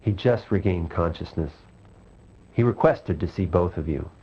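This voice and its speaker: A man's voice: lively intonation, normal speed, husky. A man playing a serious royal bedside attendant in a game cut-scene, formal and cautioning in manner. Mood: attentive, formal. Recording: muffled game audio, faint rumble.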